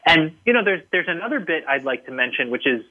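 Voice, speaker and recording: A man's voice: fairly high pitch, sing-song, fast. A man being interviewed as a book's author, answering thoughtfully with many fillers and restarts. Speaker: guest author. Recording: tinny phone-line audio.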